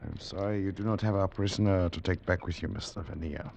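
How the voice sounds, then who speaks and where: An actor playing a grim, grieving husband with a formal, measured manner and a gravelly voice in 1940s radio drama. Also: male voice, sits low, darkish tone, dull audio, slight background noise.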